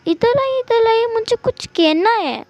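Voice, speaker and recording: A woman's voice: very high-pitched, expressive, measured pace, a little mellow, no room sound, lo-fi sound, pitched above the performer's own voice, exaggerated voice. A woman voicing a mischievous five-year-old boy who talks in simple, repeated childish phrases as part of a told story. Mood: playful, naughty. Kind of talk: amateur storytelling.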